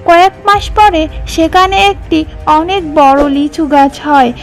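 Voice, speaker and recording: A woman reads scripted narration for a children's cartoon fable. Her voice is extremely high. Music plays clearly behind her. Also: a little slow.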